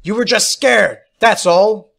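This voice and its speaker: A man voicing an indignant, hot-tempered schoolboy who shouts and taunts, in an audiobook story. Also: high voice, takes it slowly, creaky, pitched above the performer's own voice, full character voice. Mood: angry, yelling. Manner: shouting, sing-song taunts.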